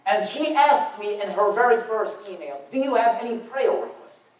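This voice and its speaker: A man's voice: sits high, very animated, normal speed. A young man from Iraq with an Arabic accent, telling his life story in a church talk with wry, dry humor. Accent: Iraqi Arabic. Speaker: guest speaker. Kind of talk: personal testimony talk. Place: church auditorium. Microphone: far camera across the room. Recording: roomy echo from far off.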